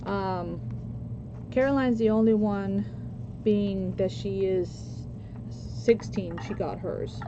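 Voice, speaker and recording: Woman, Costa Rican-born, slow-talking, chatty livestream host, lo-fi audio. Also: mid-range voice. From Costa Rica. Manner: slow, chatty, answers chat comments while working. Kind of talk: casual crafting chat with viewers. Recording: lo-fi with background noise.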